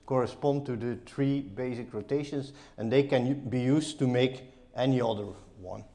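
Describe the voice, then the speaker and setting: A man's voice: medium pitch, normal speed, warm tone. A man co-presenting a talk, explaining mathematical ideas in an informal lecture style.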